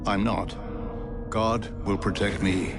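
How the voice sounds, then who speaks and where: Proud, testy gentleman scientist. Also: on the low side, steady tone, takes it slowly, gravelly. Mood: resigned defiance. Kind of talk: video game cutscene acting. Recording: loud music behind.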